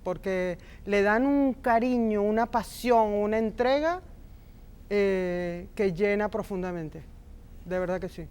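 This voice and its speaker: A woman's voice: medium pitch, takes it slowly, clean tone. A middle-aged woman from Argentina who was raised in Venezuela, a film script supervisor speaking passionately in an interview. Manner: warm, passionate, emphatic repetitions. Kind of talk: interview conversation.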